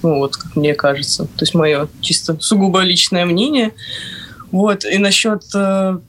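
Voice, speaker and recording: A woman's voice: lowish voice, fairly even intonation, a little slow, a bit bright. A young woman on a panel giving her opinions casually, often qualifying what she says. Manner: informal, full of self-qualification. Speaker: panel guest.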